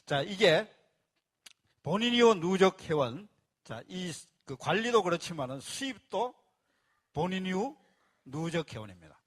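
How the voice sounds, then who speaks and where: A man's voice: sits high, big pitch swings, unhurried, long pauses. A man presenting a membership plan to a live audience, explaining step by step and repeating key phrases. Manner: speaks to a live audience and asks for applause.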